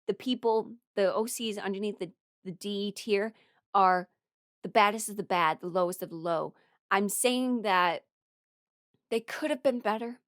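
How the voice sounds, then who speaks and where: A young adult woman rambling casually through her opinions as a video creator, expressive and emphatic, blunt but apologetic. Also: mid-range voice, no room sound, clean studio sound. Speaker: video creator ranking characters.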